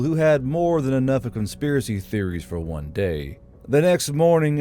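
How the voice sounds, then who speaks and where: A man's voice: mid-range voice, mellow. A man reading scripted narration for a fantasy audiobook as its narrator.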